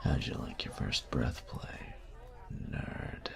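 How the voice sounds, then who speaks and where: A man playing a cocky, taunting, domineering young bully in an intimate audio roleplay, speaking in a breathy, gravelly half-whisper with slow, teasing menace. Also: a crowd in the background, natural voice. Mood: smug teasing. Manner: slow, teasing, menacing delivery with drawn-out pauses.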